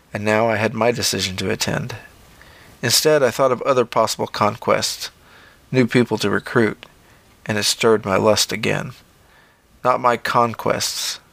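A man's voice: lowish voice, gravelly, fairly bright tone, dry recording. A man reading scripted narration as the host and narrator of a horror fiction podcast.

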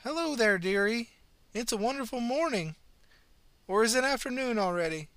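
A man's voice: lively intonation, normal speed, fairly bright tone, smooth, dry recording, exaggerated voice. A man putting on a cackling old woman's voice. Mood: mischievous. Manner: high, sing-song, cackling. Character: mischievous alien in an old woman's body.

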